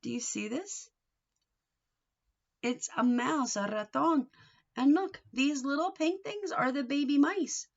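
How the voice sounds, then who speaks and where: A woman reading a picture book aloud to young children in an expressive, sing-song way, coaxing them with questions. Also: sits high, takes it slowly, gravelly, pauses often, lo-fi sound.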